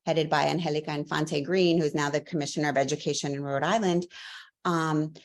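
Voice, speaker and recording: A woman's voice: low voice, steady tone, a little fast. A woman professor answering interview questions, telling her story fluently with a few fillers. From Latvia. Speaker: guest, professor.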